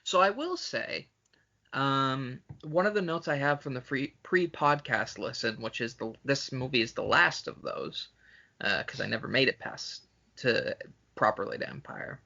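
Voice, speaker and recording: A man's voice: mid-range voice, measured pace, vocal fry, bright, forceful tone, muffled recording. A man co-hosting a podcast in casual film chat, talking in an emphatic, sweary way. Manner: profane, emphatic. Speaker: podcast host.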